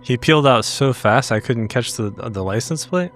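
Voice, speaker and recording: A man's voice: mid-range voice, moderate pace, fairly bright tone, faint music behind. A man playing a tabletop roleplaying game, blunt and informal as he talks in and out of character.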